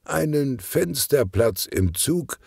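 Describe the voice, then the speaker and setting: A man acts out a jovial older police detective from a children's audiobook. The voice is husky and lively, good-humoured and a little bumbling. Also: medium pitch, takes it slowly, no echo, studio quality, pitched above the performer's own voice, heavily acted. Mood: cheerful.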